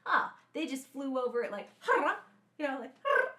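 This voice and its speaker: A young woman hosting a podcast, telling stories in a lively way and acting out voices and sounds as she talks. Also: sits high, fairly quick. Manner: animated storyteller, acts out voices and sounds. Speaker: podcast host and actor. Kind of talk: casual chat. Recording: echoey room.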